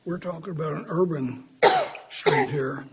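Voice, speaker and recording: A man's voice: fairly high pitch, wildly swooping pitch, unhurried, noisy background. A man giving a blunt, plain-spoken public comment at a meeting.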